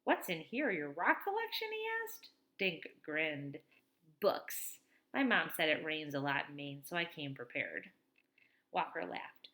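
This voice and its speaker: A woman in her thirties, a teacher reading a children's chapter book aloud from home into a webcam, with lively read-aloud delivery and quick asides. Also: fairly low pitch, creaky. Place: small room at home. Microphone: webcam at desk.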